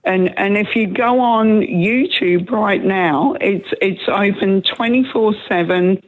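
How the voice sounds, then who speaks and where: A hoarse woman, calling in to a radio show, earnest and upset, rambling as she talks. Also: mid-range voice, takes it slowly. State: hoarse from a cold. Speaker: caller. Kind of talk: phone-in radio call. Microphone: telephone. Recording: tinny phone line.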